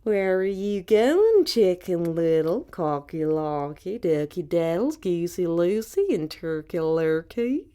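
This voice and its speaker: A woman acts out a sly storybook fox who speaks slowly and deliberately. His lines swoop up and down as he smoothly coaxes his prey along. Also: lowish voice, lively intonation, dark tone. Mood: sly, coaxing. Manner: slow, deliberate, coaxing. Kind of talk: fairy tale storytelling.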